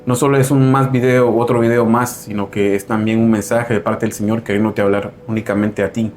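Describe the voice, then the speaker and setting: A man's voice: lowish voice, flat delivery, a little fast, darkish tone, a little hiss or hum. A man hosting a religious video program, introducing a guest in an earnest, preacher-like way. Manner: earnest, preacher-like with frequent "¿verdad?". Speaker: host.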